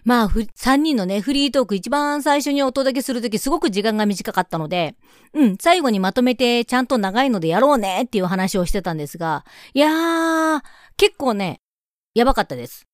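A woman's voice: fairly high pitch, expressive, brisk, dry recording, studio quality. A woman hosting a casual radio chat, mixing polite speech with playful teasing. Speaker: radio show host.

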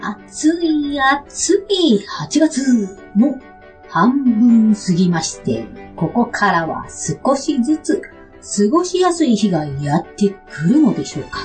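A woman's voice: fairly high pitch, sing-song, takes it slowly, darkish tone, soft background music. A woman hosting a radio show in a polite, playful, chatty way from prepared material. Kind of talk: scripted, chatty host monologue.

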